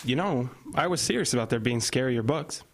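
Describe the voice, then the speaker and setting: An actor playing an easygoing older brother with casual, offhand talk. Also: male voice, mid-range voice, animated, a little fast, noisy background, lightly acted.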